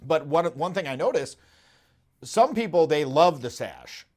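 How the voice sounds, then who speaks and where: A man in his thirties, a dating coach hosting a casual podcast chat, telling stories animatedly and acting out the voices of people he quotes, recorded in a dark studio. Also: on the high side, measured pace. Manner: animated storytelling, acts out quotes.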